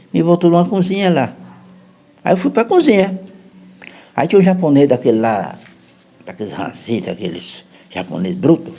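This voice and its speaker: Gravelly, husky Brazilian storyteller man. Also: mid-range voice, mellow. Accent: Brazilian Portuguese. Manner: chatty, keeps checking in with "né?". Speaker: podcast guest. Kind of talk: rambling storytelling.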